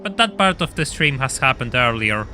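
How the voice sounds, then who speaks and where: Streamer, young man, bright forward voice, chatty and stammering, faint game music behind. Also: mid-range voice, very animated, moderate pace. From Argentina. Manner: chatty, laughs often, stammers and repeats words. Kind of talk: casual live-stream chat and gameplay commentary.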